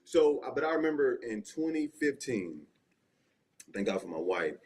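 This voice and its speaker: A man's voice: mid-range voice, big pitch swings, normal speed, a little mellow. A man with a Southern US accent, an assistant pastor telling his personal testimony as an interview guest in a folksy, conversational way with frequent check-ins. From Mississippi, United States.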